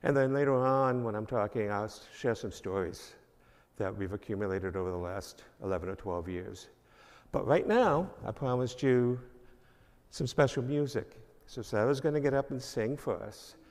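A man's voice: mid-range voice, animated, moderate pace, a little mellow. Older guy w/ new york accent, rambly preacher, lots of uhs, big echoey church hall. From New York, Long Island. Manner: rambling, filler-heavy, self-deprecating. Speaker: guest speaker, minister. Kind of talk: informal church address. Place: church sanctuary. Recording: long reverb of a church hall.

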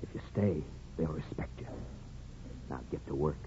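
Blunt, dark-voiced small-town man. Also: a bass voice, moderate pace. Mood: soothing, firm. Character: blunt, hearty, loyal small-town friend of a barber. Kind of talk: 1950s radio drama acting. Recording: muffled, noisy old radio broadcast.

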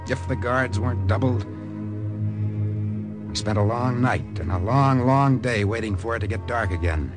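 Man as American sabotage leader for a radio play: middle pitch, cool, serious, measured, with faint music. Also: close to an everyday voice. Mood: tense, brooding. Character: cool, determined American spy leading a sabotage mission. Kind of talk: 1950s radio drama acting and narration.

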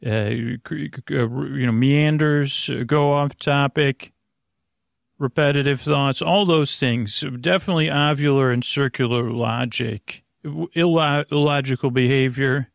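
A man hosting a bedtime podcast, rambling gently and haltingly to the listener, stammering and drifting into tangents in a friendly way. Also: medium pitch, creaky, no echo, studio quality. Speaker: podcast host. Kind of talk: rambling, meandering bedtime monologue addressed to the listener.

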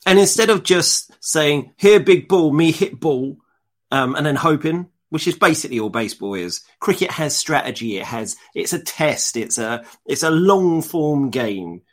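A man's voice: on the high side, lively intonation, moderate pace. A man with a London accent co-hosting a live chat show, blunt and sweary with dry humour. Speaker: podcast co-host. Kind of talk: casual live-stream chat.

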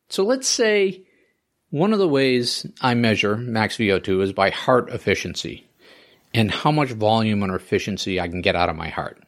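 A man in his fifties hosting a podcast alone, explaining in a wry, conversational way and often asking himself questions. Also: mid-range voice. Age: 50s. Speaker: podcast host. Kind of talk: solo scripted podcast monologue.

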